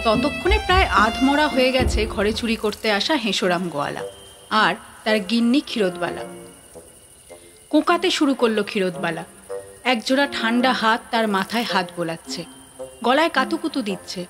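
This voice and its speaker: A woman's voice: on the high side, animated, moderate pace, music in the background. A woman narrating a scripted story in a dramatic storytelling style.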